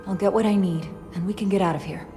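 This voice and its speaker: A capable woman acting as a starship science officer in a video game. She speaks earnestly and precisely at a medium pitch, with loud score music behind her. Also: fairly even intonation, fast, lightly acted. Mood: focused. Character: focused, capable female sci-fi science officer on a mission. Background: game soundtrack.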